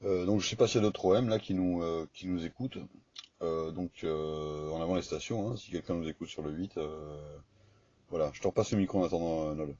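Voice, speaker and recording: A man speaking informally and haltingly as an amateur radio operator, in a casual chat over the air. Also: sits low, warm tone. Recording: dull, echoey two-way radio recording.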